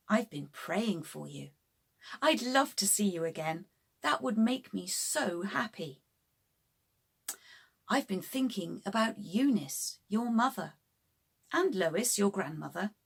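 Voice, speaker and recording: A woman voicing an earnest man's gentle, encouraging letter to a friend in a children's storybook. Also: mid-range voice, creaky, breathy edge, exaggerated voice. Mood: affectionate. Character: Paul, earnest male friend writing a reassuring letter.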